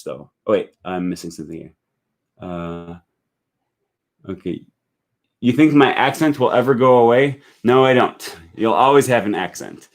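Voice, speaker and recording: An American man hosting a language-learning live stream, thinking aloud with frequent fillers and restarts as he reads viewer comments. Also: deep voice. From United States.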